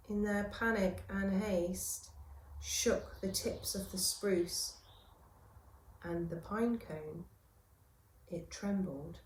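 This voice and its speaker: A woman teacher reading a children's story aloud in a theatrical, expressive way with dramatic pauses. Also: mid-range voice. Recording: roomy, echoing room sound.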